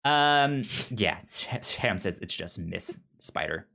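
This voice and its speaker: A man who stutters on syllables and sounds, talking casually and haltingly as a podcast co-host explaining pop culture. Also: lowish voice, lively intonation, gravelly, pushed and bright.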